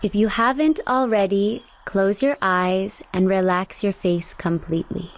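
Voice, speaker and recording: A woman's voice: medium pitch, steady tone. Soothing woman guiding a meditation. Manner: slow, soothing and instructive. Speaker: meditation guide. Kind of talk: guided meditation.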